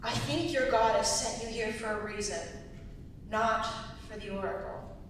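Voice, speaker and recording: A woman's voice: fairly even intonation, moderate pace, close to an everyday voice. Woman playing guarded young princess, firm, clipped lines, stage reading, far from mic, faint hiss. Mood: firm, pained. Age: young adult. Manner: firm, terse. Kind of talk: staged dramatic script reading. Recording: very echoey hall, distant mic, hiss and rumble.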